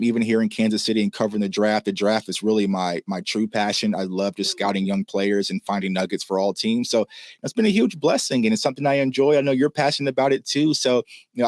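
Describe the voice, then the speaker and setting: A man from Oklahoma and Texas speaks as a football reporter in a casual interview. He talks quickly in a lowish voice with a very dry, close sound, spinning anecdotes conversationally. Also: dry recording. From Oklahoma and Texas, United States. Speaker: football reporter and YouTube host, as guest.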